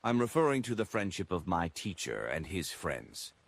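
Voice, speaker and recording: A man performs a chubby, anxious apothecary in an audiobook. He is hesitant and tight-fisted, with a husky voice and lively swings in pitch. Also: moderate pace, full character voice. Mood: uneasy, bargaining. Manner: hesitant, unsure.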